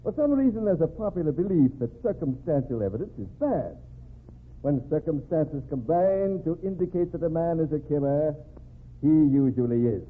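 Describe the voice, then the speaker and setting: A pompous, defensive governor in a vintage radio play. He speechifies in a husky voice with a swooping, animated delivery, heard through a narrow, tinny old recording. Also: on the high side, moderate pace. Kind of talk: old-time radio drama acting.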